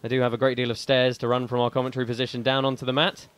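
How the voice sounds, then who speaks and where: A man's voice: medium pitch, flat delivery, racing, fairly bright tone. A man interviewing competitors and calling live commentary, speaking like an announcer projecting to a crowd. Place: competition arena. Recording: echoey hall with crowd and noise.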